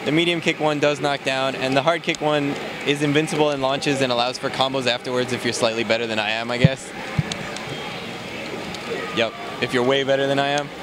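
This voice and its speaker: A man casually walking through a game character's moves, explaining in a relaxed way with many fillers, recorded at a noisy event. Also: medium pitch, fairly quick. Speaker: game developer demoing a character. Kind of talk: casual gameplay walkthrough. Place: convention floor, noisy.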